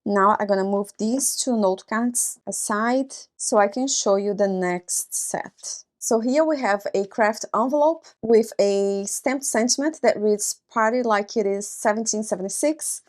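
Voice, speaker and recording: A woman whose first language is Portuguese talks through a card-making demonstration at a middle pitch. She points things out one by one in a friendly, step-by-step way, with asides as she goes. It sounds like a voice-over for close-up tabletop footage. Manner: friendly show-and-tell, pointing out items step by step. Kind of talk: craft tutorial narration.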